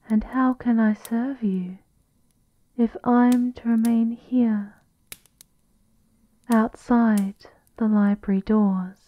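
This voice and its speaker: A woman plays a devoted female servant of a gothic castle in an ASMR roleplay. She speaks very slowly and formally, with great deference. The sound is dry and a little dull. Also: on the high side, no room sound, voice pushed up.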